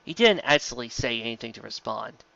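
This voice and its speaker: A man's voice: medium pitch, animated, fairly quick, creaky, pushed and bright, no room sound, lo-fi sound. A man reading a fantasy story aloud as a narrator, in a dramatic scripted style. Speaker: audiobook narrator of fan fiction.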